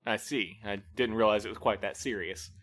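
A man's voice: on the low side, fairly even intonation, moderate pace, a bit bright, close to an everyday voice. A man playing a prim, anxious, well-meaning supervisor in an audio drama, speaking formally and hesitantly, out of his depth. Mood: uneasy.

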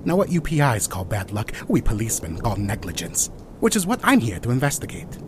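Casting: fumbling, over-eager middle-aged trainee sleuth for noir comedy audio, very animated intonation, noisy rumbling recording. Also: male voice, a little fast. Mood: stern, official. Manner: flustered, theatrical. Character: eager, fumbling overweight man over fifty, would-be detective. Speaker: actor in an audio play. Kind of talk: comic noir radio play acting.

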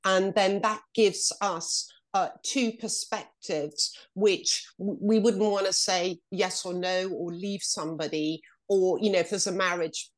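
A woman from Ireland speaking as an interview guest, soft-spoken and reflective, with hesitant restarts, over a video call. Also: medium pitch, fairly even intonation, a little slow, few pauses. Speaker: intuitive energy reader, guest. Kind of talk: casual interview talk.

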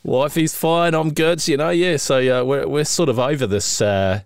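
A man's voice: on the high side, moderate pace, smooth, dry recording, clean studio sound. A middle-aged man from New Zealand with a New Zealand accent, chatting as a podcast co-host, swearing freely and telling stories with growing indignation. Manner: swears freely, animated storytelling with rising indignation. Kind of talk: casual chat.

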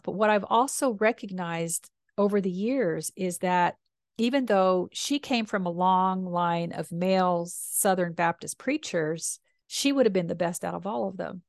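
A woman minister with a deep voice, speaking earnestly and reflectively in slow, deliberate phrases. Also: vocal fry, darkish tone, dry recording, studio quality. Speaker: minister and podcast host. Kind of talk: reflective personal talk.

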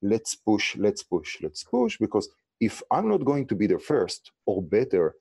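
Lively man with an Israeli accent. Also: a little slow, vocal fry, breathy edge, dry recording, clean studio sound. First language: Hebrew. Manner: animated, restarts sentences, pitch jumps up on stressed words. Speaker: guest, insurtech founder. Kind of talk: podcast interview.

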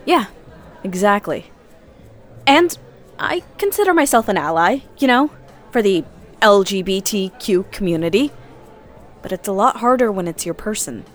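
Actress playing candid newly single woman, lively intonation, natural audio drama dialogue, soft music behind. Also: mid-range voice, normal speed, long pauses, a faint crowd, natural voice. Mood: rueful, confiding.

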